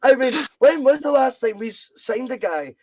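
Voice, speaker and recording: A man's voice: very high-pitched, lively intonation, moderate pace, a little mellow. A Scottish man hosting a podcast, talking football with passion and repeating points for emphasis. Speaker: podcast host. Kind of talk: casual football chat.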